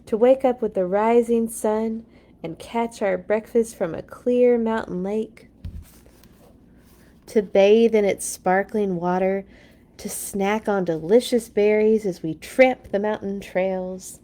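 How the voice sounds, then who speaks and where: A woman's voice: medium pitch, takes it slowly, warm tone, natural voice. A woman voicing an enthusiastic, confident father bear from a picture book, with a grand, wordy manner. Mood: dreamy boasting.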